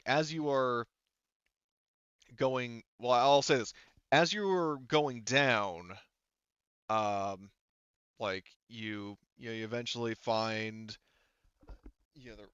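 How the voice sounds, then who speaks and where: A man running a tabletop roleplaying game as game master, describing scenes and handling rules in a halting, conversational way. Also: fairly low pitch, a bit bright, smooth, no echo, lo-fi sound. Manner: halting, conversational, often rephrasing mid-thought. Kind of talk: improvised tabletop game narration and casual rules talk.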